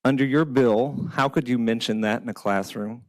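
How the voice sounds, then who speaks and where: A man's voice: mid-range voice, normal speed, no room sound. A man serving as a state legislator, cross-examining a colleague in a hearing in a pointed, incredulous way.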